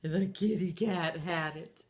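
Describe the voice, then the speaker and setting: A woman's voice: lowish voice, slow pace, a little mellow. A middle-aged woman reading a picture book aloud to young children in a playful, sing-song manner. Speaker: children's storytime reader.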